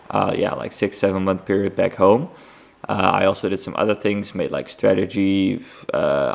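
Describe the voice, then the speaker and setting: A young Dutch man hosts a casual solo podcast in a lowish, creaky voice. His English has a Dutch accent. He talks informally and rambles along as he gives an update. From Netherlands. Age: young adult. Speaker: podcast host.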